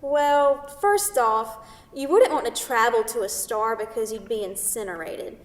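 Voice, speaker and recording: A brash city woman, acted by a woman in a staged play reading, needles and teases with pushy, playful sarcasm. Her voice sits on the high side and comes from far off in a very echoey hall. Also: measured pace, natural voice. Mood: needling, superior. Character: brash, teasing New York City woman, a science scholar. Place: theatre, recorded from a distance. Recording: distant mic in echoey hall.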